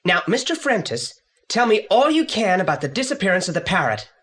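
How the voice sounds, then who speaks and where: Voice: mid-range voice, very animated, fairly quick, a bit bright, slightly rough. An actor playing a brainy, self-assured boy detective in a 1980s audio play, speaking formally and precisely. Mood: inquisitive, analytical. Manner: pompous, precise, formal wording.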